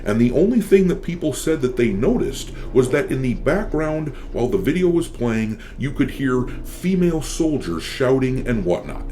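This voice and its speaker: A man's voice: medium pitch, sing-song, moderate pace, few pauses, warm tone, a little hiss or hum. A man delivering scripted opinion commentary as a channel host, with a blunt, sardonic, profane manner.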